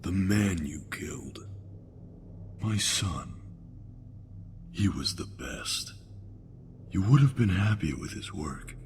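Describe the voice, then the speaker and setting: A man voicing a sinister, admiring villain, a twisted surgeon, menacing and deliberate in a motion comic. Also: low voice, expressive, a little mellow, slight background noise. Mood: reverent, menacing.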